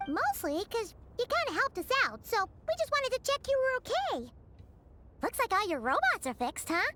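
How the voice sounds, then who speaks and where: A woman voicing a small, childlike, chatty companion creature in a video game, with a squeaky voice. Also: huge pitch swings, normal speed, bright, forceful tone, slight background noise, heavily acted. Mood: chirpy, concerned.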